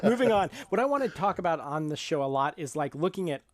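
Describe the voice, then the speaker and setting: Middle-aged man, podcast host. Voice a bit on the high side, dry sound, halting conversational delivery. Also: expressive, dry recording.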